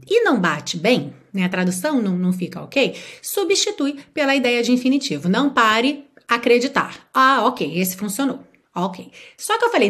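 A woman from Rio de Janeiro teaches an English lesson in an animated voice that sits a little high. She explains step by step and keeps asking "certo?" to check in. She slows down sharply whenever she models an English phrase. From Brazil.

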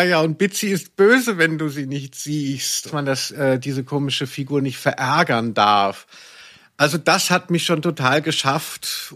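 A man's voice: fairly high pitch, a bit bright. A man co-hosting a casual comedic podcast, talking with ironic, theatrical exaggeration. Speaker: podcast co-host. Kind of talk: casual comedic podcast chat about audio dramas.

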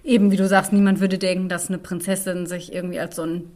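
A woman's voice: on the low side, flat delivery, quick pace, a little mellow. A woman co-hosting a podcast, explaining fairy tales in a conversational way. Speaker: podcast co-host.

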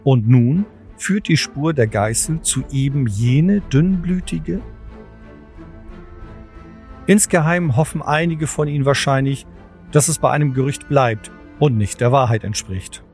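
A male narrator tells a scripted audio drama story. His voice sits at a middle pitch, with music playing behind him. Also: measured pace, darkish tone. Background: clear background music.

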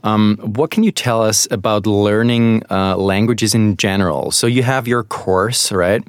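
Low-voiced male interviewer, halting delivery. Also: steady tone, no echo, clean studio sound. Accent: American-sounding English learned as a second language. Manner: hesitant, many fillers and restarts. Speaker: host.

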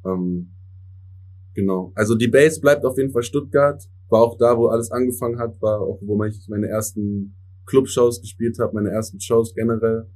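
A man's voice: fairly low pitch, moderate pace, darkish tone, low-quality recording. A young man in his twenties whose first language is English, chatting casually as a podcast guest, dropping slang and English words between many fillers. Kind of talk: casual interview chat.

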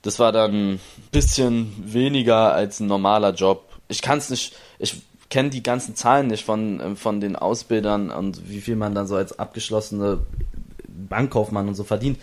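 A young man from Berlin with a lowish voice tells how his esports career began. His talk rambles and restarts often, and he slips into quick impressions of the people he met. The feel is a casual, loose interview. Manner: rambling, many restarts, acts out others' lines. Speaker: esports player.

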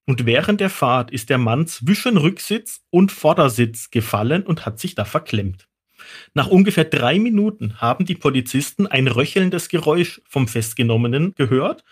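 A man's voice: a little high, expressive, moderate pace, husky. A man telling stories casually on a podcast, explaining things in an amused, conversational way. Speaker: toxicologist guest expert.

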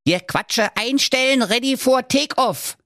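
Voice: mid-range voice, a little slow, a bit bright, no room sound, studio quality, voice pushed up. A performer voicing a cheeky, bossy little male dwarf ghost, brash and impatient, in a comic storybook tale.

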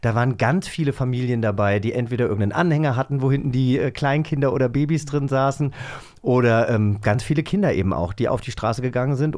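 A man's voice: medium pitch, a little mellow. A man with a mid-range voice co-hosts a magazine show. He talks casually and chattily, with a self-deprecating streak. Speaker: podcast co-host.

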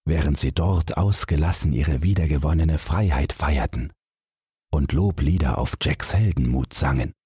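A man reading a bedtime fairy tale as a scripted audiobook, with measured, dramatic storytelling. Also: rumbling low, dark tone, husky, no echo, studio quality. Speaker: audiobook narrator.

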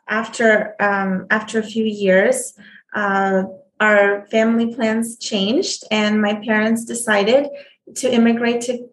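A woman's voice: medium pitch, fairly bright tone. Hesitant woman in a roomy space. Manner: halting, many fillers and restarts. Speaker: guest. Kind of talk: conversational interview.

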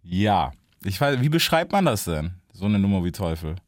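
A young male podcast host with a fairly low voice and a loose, easygoing delivery. He likes to sprinkle English slang into his talk. The sound is dry and close, with no room echo. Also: very animated, moderate pace. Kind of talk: casual interview chat.

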